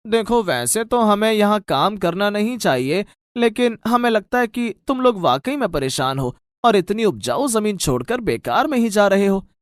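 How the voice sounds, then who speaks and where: A man voicing a tiny, nervous magical dwarf from another world, pleading and earnest, in an animated moral story. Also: sits high, normal speed, few pauses, no echo, clean studio sound.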